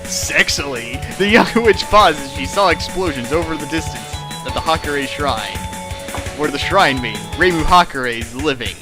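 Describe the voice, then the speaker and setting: A man's voice: on the high side, expressive, moderate pace, over music. A young man doing a comedic dramatic reading of a fan fiction, jokey and sarcastic, laughing between lines. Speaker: reader and riffer of a fan fiction.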